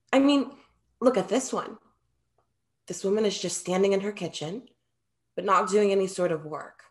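An earnest immortal muse played by a young woman in a theatrical reading. Her voice sits on the low side, and she words things formally and precisely. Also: lightly acted. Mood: passionate, admiring. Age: young adult. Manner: formal, precise, avoids contractions. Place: indoor room at home, video call.

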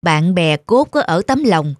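A woman voicing a sensible middle-aged mother in a cartoon drama, concerned and gently admonishing. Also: on the low side, big pitch swings, measured pace, soft background music.

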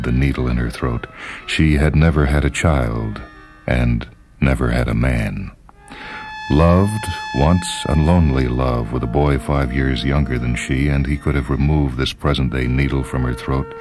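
A man with an exceptionally deep voice narrates literary fiction. His delivery is measured and dramatic, like a radio storyteller's, with music playing behind him. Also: darkish tone. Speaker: short story reader and radio host. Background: background music.

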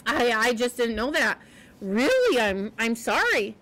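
A timid young female mouse from a children's storybook, voiced by a woman reading aloud. She sounds naive and easily flustered, speaking hesitantly in a high, bright voice. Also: sing-song, a little slow, poor audio. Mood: pleading. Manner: hesitant, stammering, earnest.

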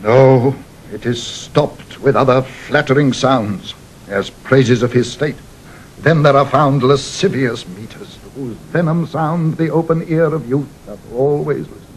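A man's voice: a little slow, close to an everyday voice, low-quality recording. An actor playing an embittered, disapproving nobleman, with emphatic declamation in a Shakespearean audio drama. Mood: bitter, disapproving. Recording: vintage 1960 recording with faint rumble.

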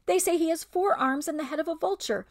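A woman voicing a very young, timid male lamb who speaks softly in a high, pleading voice. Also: fast talker, dry recording, studio quality. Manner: high, soft, pleading, near whisper.

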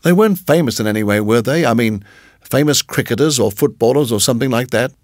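A man's voice: medium pitch, fast, no room sound, studio quality, close to an everyday voice. A man voicing a polite young male lodger in an audiobook, musing and earnest, a little hesitant. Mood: puzzled. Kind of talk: audiobook character voice.

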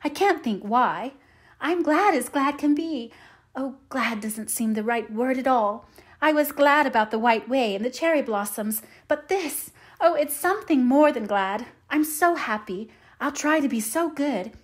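A woman's voice: a little high, lively intonation, fairly quick, natural voice. A woman voicing an eager, dreamy orphan girl who talks earnestly and effusively, as in an audiobook. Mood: tearfully happy. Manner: effusive, earnest, dramatic phrasing. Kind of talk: audiobook character voice.

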